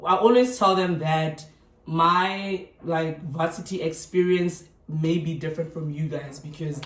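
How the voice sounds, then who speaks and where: A woman's voice: low voice, measured pace. South African young woman, interview host, chatty, sits back from the mic in an echoey room. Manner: chatty, many short backchannels. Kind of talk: casual interview chat. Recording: echoey room, distant mic, faint noise.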